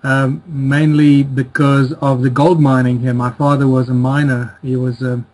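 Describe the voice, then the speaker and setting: A man's voice: medium pitch, flat delivery, measured pace, a little mellow, rough recording. A middle-aged man with a South African accent, talking as an interview guest over a remote call in a hesitant, conversational way. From born in Czechoslovakia, grew up in South Africa. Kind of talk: conversational life story telling.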